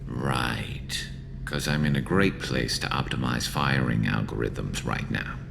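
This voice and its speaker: A man voicing a loyal alien soldier in a video game, gravelly, halting and self-deprecating with dry, nervous humour. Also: very deep voice, over music, heavily acted. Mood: wry, awkward. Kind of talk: video game dialogue acting.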